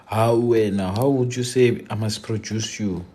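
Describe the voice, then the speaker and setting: A man's voice: on the low side, steady tone, measured pace, warm tone. A young South African man from Limpopo, chatting casually on a live stream, joking and mixing English with Zulu slang. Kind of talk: casual live stream chat answering viewers.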